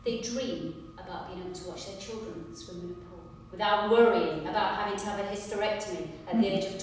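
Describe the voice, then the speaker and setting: Actress as indignant female lawyer, cutting and emphatic stage delivery, mid-pitched, recorded distantly in a reverberant hall. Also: lightly acted. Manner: combative, sarcastic, emphatic, swearing. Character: sharp-tongued, indignant woman lawyer fighting for poor clients. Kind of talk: theatrical stage monologue. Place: large echoing performance room, distant microphone. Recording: echoey hall from far off, faint noise.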